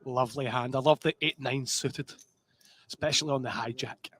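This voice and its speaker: A man's voice: medium pitch, steady tone, moderate pace, a bit bright, slightly rough. A man with a Scottish accent commentating live poker, chatty and joking. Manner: chatty and joking, sings snatches of song.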